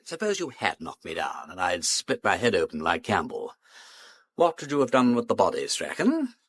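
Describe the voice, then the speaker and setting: An urbane gentleman detective with an upper-class English accent in a radio drama. His pitch swings widely as he needles people with breezy, teasing wit. The sound is close and dry. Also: huge pitch swings, normal speed, breathy edge, dry recording, heavily acted. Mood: sharp, commanding. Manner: teasing, breezy, with drawled asides.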